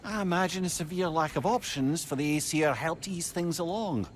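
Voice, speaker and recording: A man's voice: on the high side, moderate pace, slight background noise, close to an everyday voice. A man voicing a chatty, cheeky old royal adviser in a video game, with a wry, wordy, mock-courtly manner. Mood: wry, sardonic. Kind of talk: video game character acting.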